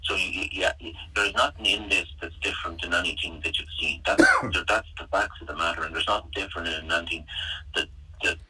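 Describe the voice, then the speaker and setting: A man's voice: low voice, fairly even intonation, brisk, bright, forceful tone, slight background noise. An Irish man in an unscripted phone conversation, speaking hesitantly and in a conciliatory way, heard as a played-back call. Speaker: chief executive of an association. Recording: distant, harsh replayed phone call.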